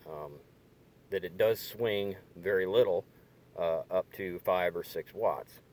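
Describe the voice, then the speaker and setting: Low-voiced man, halting casual demo. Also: darkish tone. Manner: tentative, hedging, halting. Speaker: hobbyist demonstrating radio gear. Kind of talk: casual hands-on demonstration. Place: inside a parked car. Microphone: handheld camera or phone.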